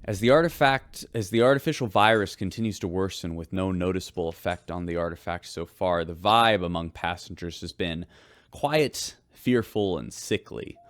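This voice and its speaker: An actor plays a weary male radio host reporting from a spaceship in a sci-fi drama. His voice is low and closely recorded with a dry sound. He is wry and measured as he delivers the news. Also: no echo, natural voice. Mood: weary, concerned.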